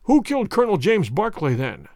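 A man reading an audiobook, voicing a calm, commanding detective in a measured, lowered voice. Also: no room sound, clean studio sound, full character voice. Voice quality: measured, low and cool.

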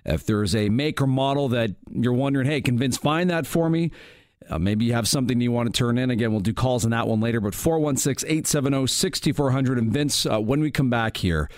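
A man's voice: medium pitch, a little fast, a little mellow, no echo, studio quality. Upbeat male talk radio host. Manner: upbeat announcer reading out phone numbers. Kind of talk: live talk radio hosting. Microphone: studio microphone.

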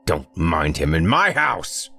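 A man voicing a calm, gently wry mother who speaks slowly and evenly. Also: sits low, vocal fry, breathy edge, soft background music, heavily acted. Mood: calm, dry.

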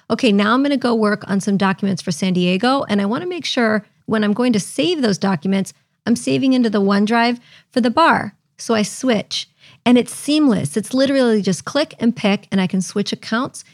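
A woman hosting a podcast, explaining technology in a chatty, lively way. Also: medium pitch, no echo, clean studio sound. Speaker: podcast host. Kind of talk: explaining tech casually.

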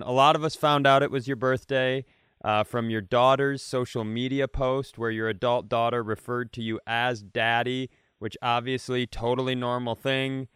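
A man co-hosting a comedy podcast, rambling deadpan through offbeat questions in casual chat. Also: mid-range voice, smooth, dry recording, studio quality. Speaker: podcast co-host.

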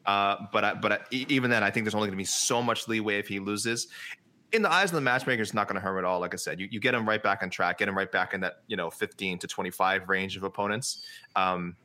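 A man's voice: fairly low pitch, quick pace, sharp tone. A man co-hosting a fight talk show, analysing at length with frequent fillers and restarts. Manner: rambling, many fillers and restarts. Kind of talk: casual analysis chat.

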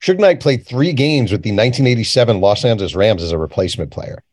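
A man with a fairly low voice, recorded dry with no room around it. He talks like a writer being interviewed, candid and colloquial, leaning on repetition to make his point. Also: lively intonation, fast talker, a little mellow, no room sound. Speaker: author and journalist guest. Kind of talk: casual conversational interview.

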